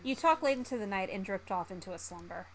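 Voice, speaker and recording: A woman's voice: medium pitch, lively intonation, fast, low-quality recording. A woman livestreamer reading a visual novel aloud in a theatrical, giggly, playful way. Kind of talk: playful game streaming, reading story narration aloud. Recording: music behind the voice. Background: music.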